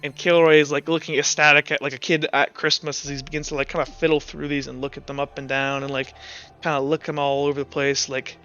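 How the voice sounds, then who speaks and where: A man's voice: a little high, flat delivery, quick pace, pushed and bright, clear, resonant tone. A man working as game master, improvising the narration of a tabletop roleplaying game in a casual way. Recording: slightly dull audio, music underneath. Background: background music.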